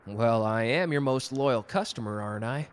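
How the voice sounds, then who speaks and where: A man's voice: mid-range voice, moderate pace, clean tone, natural voice. A man playing a cocky, gallant and protective customer who flirts teasingly in a romantic roleplay audio drama. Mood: teasing, friendly. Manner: suave, teasing, intimate one-sided conversation.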